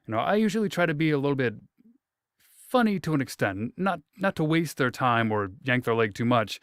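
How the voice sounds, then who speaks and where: A man's voice: medium pitch, big pitch swings, brisk, clear, resonant tone, no echo, studio quality. A man hosting an interview, asking long rambling questions with self-corrections and a light, joking manner. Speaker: interview host.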